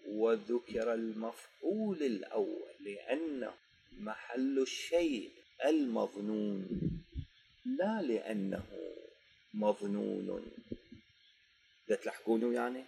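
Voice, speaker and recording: A man with an Iraqi Arabic accent teaching a grammar lesson, dictating slowly and quizzing his students before answering his own questions. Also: fairly low pitch, animated, creaky, warm tone, a little hiss or hum, poor audio.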